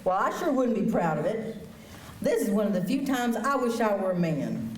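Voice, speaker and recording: A woman's voice: medium pitch, sing-song, normal speed, a little mellow, lightly acted. In an echoey auditorium, heard at some distance, a woman plays a bold frontier woman of a radio western. She is direct, outspoken and protective. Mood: scornful. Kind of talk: staged radio western drama. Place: high school auditorium stage.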